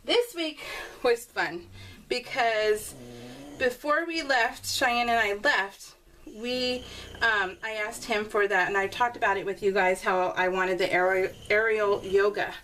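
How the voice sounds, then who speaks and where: A middle-aged woman chatting casually and telling animated, giggly stories while she works on a scrapbooking project. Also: mid-range voice, unhurried, slight background noise, poor audio. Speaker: scrapbooking vlogger. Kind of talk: casual storytelling while crafting.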